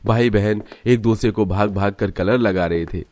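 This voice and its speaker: A man's voice: lowish voice, normal speed, darkish tone, soft background music. A man giving scripted narration for a cartoon story.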